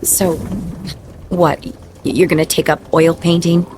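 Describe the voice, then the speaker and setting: A woman acting in a radio drama as a skeptical but caring ex-wife, direct and expressive. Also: fairly low pitch, a little slow, slight background noise, natural voice.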